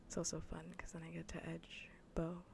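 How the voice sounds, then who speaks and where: A woman speaking in a soft, breathy whisper close to the mic, chatting playfully and teasingly as an ASMR livestream host. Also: low-pitched, completely flat delivery, fairly quick, a little hiss or hum. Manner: teasing, playful, mock-scolding. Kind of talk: soft whispered ASMR gaming stream chat. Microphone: close ASMR microphone.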